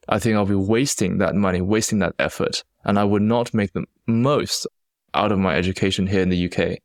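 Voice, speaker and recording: Young male podcast host, very low voice, Chinese-British accent, earnest and reflective, dry close sound. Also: dry recording. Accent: Chinese-accented English with British influence. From China. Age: young adult. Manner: reflective, earnest, with frequent restarts. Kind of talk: solo podcast storytelling.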